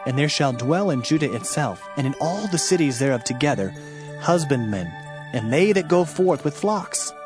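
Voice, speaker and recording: A man's voice: a little high, expressive, normal speed. A man narrating a dramatized scripture audiobook in a solemn, formal, declamatory manner, with music behind him.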